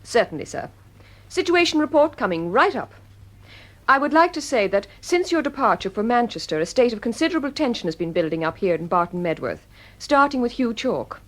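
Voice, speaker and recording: An actress playing a smart, inquisitive detective's assistant in an audio drama, playful and probing. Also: female voice, fairly high pitch, sing-song, fairly quick, fairly bright tone. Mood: teasing, curious.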